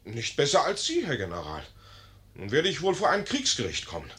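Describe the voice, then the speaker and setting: An actor playing a young, hot-headed and naive army lieutenant, indignant and declamatory, in a 1950s German radio play. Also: male voice, mid-range voice, wildly swooping pitch, brisk, a bit bright. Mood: aggrieved.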